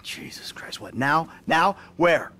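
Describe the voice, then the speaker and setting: A man's voice: fairly high pitch, lively intonation, slow, pushed and bright, a little hiss or hum, heavily acted. An actor playing a defensive, exasperated middle-aged retired criminal and father in a video game, sounding weary and irritable. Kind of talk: video game dramatic acting.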